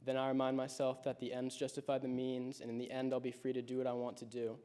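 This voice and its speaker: A teenage boy, a high school senior, reads out a prepared personal speech. He tells his story in a reflective, measured way, keeping his pitch fairly level. His voice sits on the mellow, darker side. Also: completely flat delivery, clean tone. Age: late teens.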